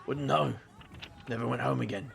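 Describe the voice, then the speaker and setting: A man playing a gruff, sarcastic British magician in an audio drama, with a gravelly voice and slangy, grumbling delivery. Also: medium pitch, fairly even intonation, moderate pace, crowd noise, noisy background. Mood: wistful, clipped.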